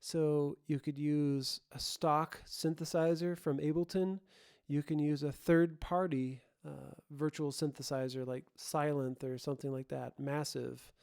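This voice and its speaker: A man's voice: on the high side, fairly even intonation, a little slow, creaky, darkish tone, clean tone, no room sound, clean studio sound. A man presenting an informal tutorial, thinking aloud and often correcting himself as he explains. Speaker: host, audio production tutorial presenter.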